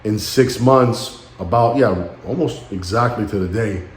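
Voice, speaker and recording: A man in his late thirties speaking in a halting, somber and introspective way, as in a personal vlog monologue. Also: fairly low pitch, roomy sound, slight background noise. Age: late 30s. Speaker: vlogger.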